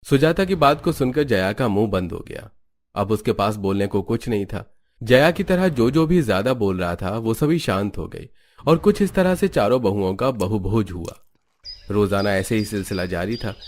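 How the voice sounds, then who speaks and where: A man's voice: on the low side, expressive, normal speed, darkish tone. A man reading scripted narration for a story.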